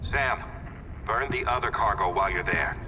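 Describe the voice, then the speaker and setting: A man's voice: on the low side, normal speed, natural voice. A man acting a worried dispatcher in a video game cutscene, calling out urgently as if over comms. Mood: urgent order. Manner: urgent radio-call delivery. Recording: distant voice under loud storm noise.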